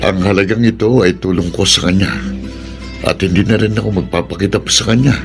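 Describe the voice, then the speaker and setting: A man's voice: sits low, fairly quick, vocal fry, over music. An actor playing a remorseful, lovelorn man in a Filipino radio drama, solemn, earnest and resigned.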